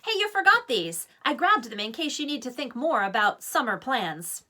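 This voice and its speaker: A woman voicing a practical, insistent middle-aged mom with a brisk, persuasive manner in a children's novel read-aloud. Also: on the high side, big pitch swings, pushed and bright, heavily acted.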